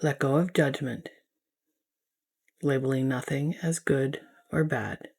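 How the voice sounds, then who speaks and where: Slow, low-voiced woman guiding meditation. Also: fairly even intonation, breathy edge. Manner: soothing, unhurried, gentle instruction. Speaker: guided meditation teacher. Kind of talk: guided yoga nidra meditation.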